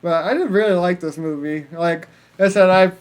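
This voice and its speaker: A man's voice: high voice, moderate pace. A man co-hosting a podcast, chatting casually about movies in a jokey way and often laughing as he talks. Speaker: podcast co-host. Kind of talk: casual movie review chat.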